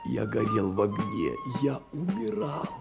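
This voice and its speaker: An actor playing a poor, meek, aggrieved middle-aged clerk in a theatrical audio drama, plaintive and tender with agitated outbursts. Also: male voice, mid-range voice, wildly swooping pitch, unhurried, creaky, music in the background, full character voice. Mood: mortified. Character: poor, meek, aggrieved clerk pouring out his heart in letters.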